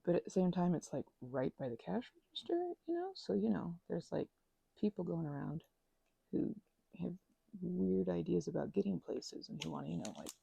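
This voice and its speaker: Gravelly, husky woman rambling soft ASMR. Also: on the low side, very animated, moderate pace, dry recording, poor audio. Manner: rambling, meandering, slips into whispers. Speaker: ASMR crafter. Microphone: close microphone.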